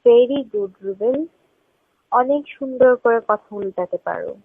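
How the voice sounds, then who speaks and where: A woman's voice: fairly high pitch, measured pace, husky, no room sound. A woman in a confrontational phone conversation, speaking insistently and pressing with repeated questions. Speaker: caller. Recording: tinny narrowband phone call recording.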